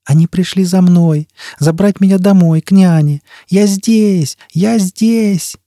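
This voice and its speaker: A man's voice: sits high, slow, warm tone, dry recording, studio quality, pitched above the performer's own voice. A man voicing a frightened, homesick little boy in an audiobook, with a whining, plaintive delivery. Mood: hopeful. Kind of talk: audiobook character acting.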